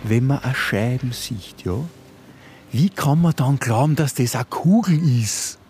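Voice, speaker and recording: An actor playing a domineering, condescending conspiracy believer turned kidnapper in a radio play, speaking colloquially with an Austrian accent. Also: male voice, mid-range voice, expressive, a little slow, darkish tone, slight background noise, lightly acted. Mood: insistent. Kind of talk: contemporary radio play acting.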